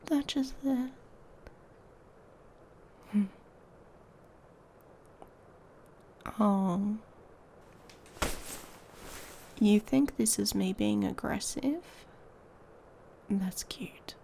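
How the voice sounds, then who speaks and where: A woman playing a haughty, domineering female werewolf in an intimate ASMR roleplay, mocking and commanding, often dropping into a breathy whisper. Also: medium pitch, drawn-out words, long pauses, mellow, slight background noise. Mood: seductive, teasing. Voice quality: husky, breathy.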